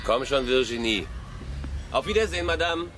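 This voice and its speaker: An actor playing a polite, somewhat embarrassed and impatient father in a radio drama, hurried and apologetic. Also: medium pitch, expressive, fairly bright tone, slight background noise, natural voice.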